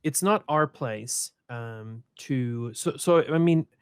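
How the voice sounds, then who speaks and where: A man's voice: medium pitch, slow, clean tone, no room sound. A man from Sweden moderating a conference panel, speaking thoughtfully with many restarts and repeated words.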